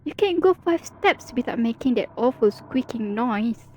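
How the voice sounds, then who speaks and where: High-voiced, teasing female supervillain, acted by a woman. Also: expressive, moderate pace, warm tone, muffled recording, a little hiss or hum, lightly acted. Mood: smug, teasing. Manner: sarcastic, drawling, mock-dramatic. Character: teasing, theatrical female supervillain, playful and world-weary. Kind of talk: ASMR roleplay audio drama.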